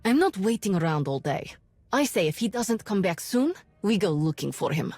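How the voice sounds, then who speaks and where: A woman voicing a fed-up, impatient townsperson in a video game, blunt and animated. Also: mid-range voice, moderate pace, faint music behind. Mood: indignant.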